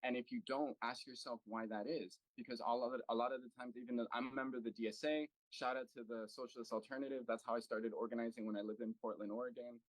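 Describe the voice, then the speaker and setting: A man's voice: medium pitch, level tone, fast talker, hardly any pauses, no echo, rough recording. A man from the United States speaking at an online political meeting as a local party chapter president, earnest and full of fillers and restarts. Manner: earnest, thinking aloud with many fillers and restarts. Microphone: video call.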